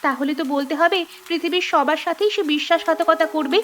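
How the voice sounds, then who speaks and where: A woman plays a pair of caring young sisters in a village cartoon. Her voice is very high, and she talks urgently and earnestly. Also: steady tone, fairly quick, a bit bright, slight background noise. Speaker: voice actor. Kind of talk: cartoon voice acting.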